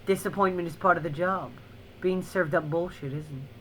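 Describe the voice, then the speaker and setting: A woman's voice: on the low side, moderate pace, a little hiss or hum, close to an everyday voice, poor audio. A woman voicing a pompous, argumentative art critic, a man in his fifties, in a visual novel. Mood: disdainful. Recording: loud music over the voice.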